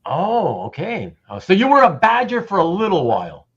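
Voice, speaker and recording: Male livestream host, higher-voiced, halting and repetitive, chatty, slightly muffled recording. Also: wildly swooping pitch, slightly rough. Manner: halting, repeats words and phrases. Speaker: host of a live show. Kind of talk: casual livestream chat. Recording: dull, muffled lo-fi audio.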